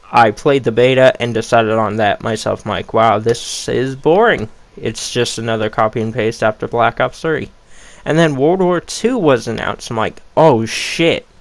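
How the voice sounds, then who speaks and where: A man's voice: mid-range voice, a bit bright, dry recording. A young man ranking upcoming games in a casual vlog, blunt and rambling with a lot of swearing, his voice a little worn. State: losing his voice. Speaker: gaming YouTuber.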